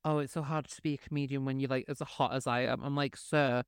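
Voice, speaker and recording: A sassy, campy man co-hosting a podcast, whose first language is Spanish, throwing shade with glee. His voice is smooth and clear at a middle pitch. The recording is dry and close. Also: fairly even intonation, quick pace, no echo. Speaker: podcast co-host. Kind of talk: casual chat.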